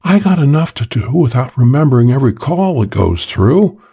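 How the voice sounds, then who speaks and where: A man's voice: mid-range voice, very animated, moderate pace, gravelly, warm tone, husky, pitched above the performer's own voice, full character voice. A man reading an audiobook, voicing a brassy young woman who works a hotel switchboard and answers in a sassy, slangy way.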